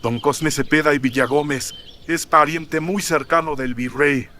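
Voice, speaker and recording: A man voicing a grave, resigned colonial gentleman, formal and solemn, in a horror radio drama. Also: a little high, fairly even intonation, measured pace, slight background noise, voice pushed up.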